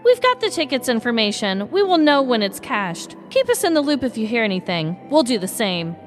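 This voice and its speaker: A woman voices a wry, smirking store cashier in a story podcast. The voice sits high and jumps around with emphatic energy, and faint music runs underneath. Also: sing-song, brisk. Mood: wry, brisk. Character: store cashier, smirking, matter-of-fact bearer of big news. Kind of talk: acted dialogue in a podcast story. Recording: music behind the voice.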